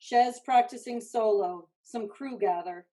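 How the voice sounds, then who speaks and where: A woman's voice: a little high, a little slow, dark tone. A woman reading scripted stage directions as narrator.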